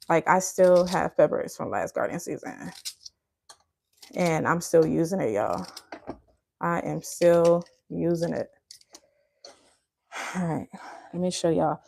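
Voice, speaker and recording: Chatty woman from Liberia, low voice. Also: gravelly, a little mellow, a little hiss or hum. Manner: chatty, addresses viewers as y'all, laughs often. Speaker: cooking channel host. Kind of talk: live cooking stream chat.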